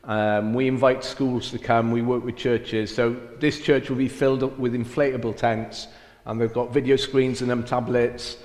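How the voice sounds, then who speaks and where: A man's voice: mid-range voice, flat delivery, moderate pace, darkish tone. A middle-aged man from Wales with a mixed Welsh and Cockney accent, a mission field director chatting in a self-deprecating way with many fillers during a church interview. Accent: Welsh with Cockney mix. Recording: echoey church hall, long reverb.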